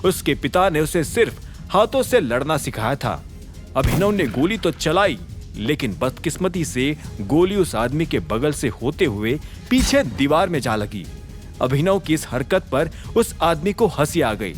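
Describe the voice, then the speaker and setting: A man giving scripted, suspenseful dramatic narration of a story in an expressive manner. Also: on the high side, measured pace. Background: background music.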